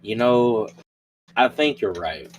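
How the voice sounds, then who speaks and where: A man's voice: medium pitch, animated, slow, a little hiss or hum, poor audio. A man playing a cocky, hot-headed mercenary in improvised roleplay, rambling and slangy. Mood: casual, cocky.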